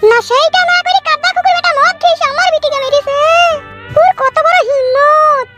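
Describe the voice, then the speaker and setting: Cartoon comedy: protective, foul-mouthed village mother, screeching, very bright and furious. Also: fairly even intonation, fairly quick, soft background music. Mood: furious scolding. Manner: shrill, scolding, cursing.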